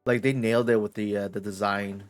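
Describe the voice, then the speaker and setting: A man's voice: on the low side, steady tone, fairly quick, soft background music. A man streaming a game, chatting casually in a rambling way with lots of fillers. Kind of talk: casual commentary over gameplay.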